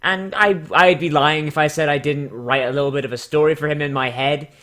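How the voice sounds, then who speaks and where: A man hosts a comedic online show in a sharp, bright voice that sits a little high. He talks casually and sarcastically, swears freely, and reads aloud with theatrical mock drama. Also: steady tone, fairly quick. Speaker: YouTube host.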